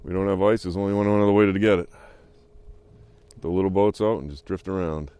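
A man in his thirties with a New England accent, a guest angler explaining his technique in a relaxed, unhurried way. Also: low-pitched, clear, resonant tone, no echo. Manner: relaxed, unhurried, with fillers like "uh" and "you know". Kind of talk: casual explanation. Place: small boat on a pond, outdoors.

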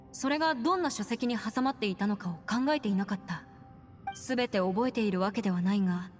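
A woman playing an earnest, clever young female adviser in a video game, articulate and resolute as she explains. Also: fairly high pitch. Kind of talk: Japanese video game voice acting. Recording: long reverb, music behind.

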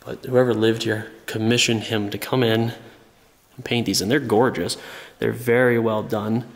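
A man's voice: medium pitch, little pitch movement, normal speed. A young man with a cold, chatting casually as he films himself walking through an abandoned house. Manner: enthusiastic, chatty, many fillers. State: sick with a cold. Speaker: urban explorer vlogger. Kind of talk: casual walkthrough narration. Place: empty abandoned house, indoors. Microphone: small camera held at arm's length.

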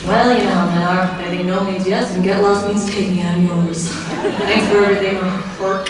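A woman voicing a sassy, cynical young heroine with attitude in an animated film. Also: medium pitch, moderate pace, distant mic, heavy background noise, lightly acted. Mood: sardonic. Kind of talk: cartoon voice acting. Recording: echoey hall PA, crowd behind.

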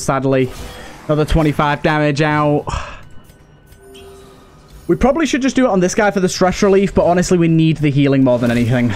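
A man's voice: fairly high pitch, a little mellow. A man hosting a gameplay video, thinking aloud in short remarks as he gives casual commentary. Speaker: gameplay host.

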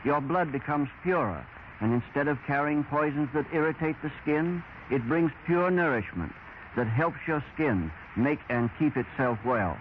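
Higher-voiced man on noisy 1930s radio. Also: moderate pace, highs cut off. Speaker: doctor giving a sponsored health talk. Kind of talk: scripted 1930s radio advertising talk.

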